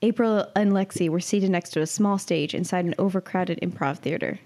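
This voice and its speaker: A woman's voice: on the low side, brisk, warm tone. A woman in her twenties, a giggly podcast host reading her comic writing and chatting casually. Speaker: podcast host and amateur writer. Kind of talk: reading own comic story, casual chat.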